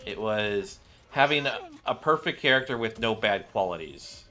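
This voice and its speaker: A man's voice: on the low side, animated, moderate pace, bright, forceful tone, soft background music. A man giving casual, musing gameplay commentary, thinking aloud as he goes. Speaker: game streamer reacting to cutscenes.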